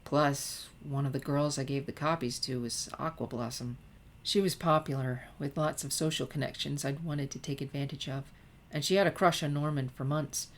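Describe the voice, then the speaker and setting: A woman plays a teenage girl with a low voice in a storybook reading. She is reflective and hesitant, confessing old schemes with regret. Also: fairly even intonation, normal speed. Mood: rueful.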